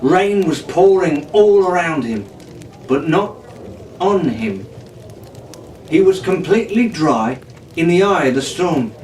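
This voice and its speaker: A man reading a storybook adventure in a dramatic, theatrical, animated way. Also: fairly high pitch, takes it slowly, pauses often. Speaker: audiobook narrator reading in character as a pirate captain. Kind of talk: dramatic scripted storybook narration. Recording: roomy, distant, noisy home-style recording.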